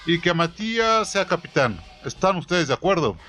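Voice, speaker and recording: A man's voice: a little high, very animated, moderate pace, sharp tone, soft background music, voice pushed up. A man playing a formal, commanding rebel priest. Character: authoritative rebel priest commander addressing his men.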